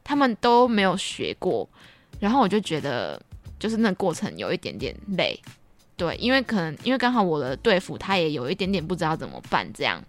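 A woman's voice: on the low side, a little fast, faint music behind. A young woman with a Taiwanese Mandarin accent co-hosts a casual podcast chat, telling stories and acting out what others said. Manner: animated, acts out children's remarks. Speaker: podcast co-host and camp counselor.

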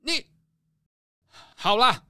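An actor playing a greedy gambling ship captain and thief, whiny and defensive, in a children's audio drama. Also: male voice, extremely slow, over music. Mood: guilty, defensive.

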